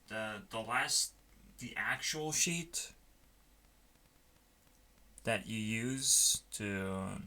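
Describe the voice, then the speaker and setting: A man rambling casually in a halting, musing way, often trailing off, as if talking through his work on a stream. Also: on the low side, bright, forceful tone. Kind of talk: rambling casual chat while coding. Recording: noticeable background noise, keyboard clicks.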